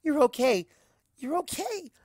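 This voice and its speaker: An affectionate young female pony, played by a story reader speaks in a raised, high voice. The words come breathless and halting, full of anxious emotion, in a dry storybook recording. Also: very animated, a little mellow, no room sound. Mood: relieved, overwhelmed.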